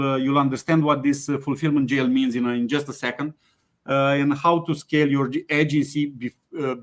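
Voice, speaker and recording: A man hosting a webinar, speaking haltingly with frequent fillers and restarts. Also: fairly high pitch. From Romania. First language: Romanian. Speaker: webinar host, company CEO. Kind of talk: hesitant webinar presentation with many fillers.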